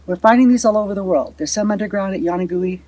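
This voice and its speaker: A woman's voice: mid-range voice, rapid-fire, darkish tone, no echo, rough recording. Woman guiding on camera outdoors. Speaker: site guide and presenter. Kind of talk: explanatory on-site presentation. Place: outdoors at a rock site.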